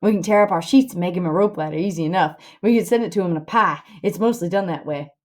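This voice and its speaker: A woman's voice: lowish voice, fast talker, close to an everyday voice. A woman voicing a bossy, grandiose boy schemer in a storybook reading, lecturing scornfully in rustic dialect. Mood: reluctant, reasoning.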